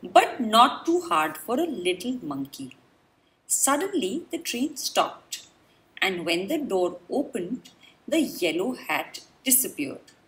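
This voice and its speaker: A woman reading a children's storybook aloud, expressive and dramatic, with deliberate pauses. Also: medium pitch, rough recording. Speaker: children's book reader. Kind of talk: read-aloud storybook narration.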